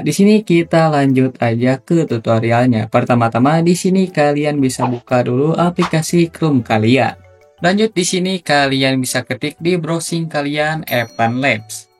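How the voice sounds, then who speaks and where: A man with an Indonesian accent hosting a casual, slangy tutorial, talking straight to his viewers. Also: a little high. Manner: chatty, slangy, addresses viewers directly. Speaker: YouTube tutorial host. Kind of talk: casual scripted tutorial narration.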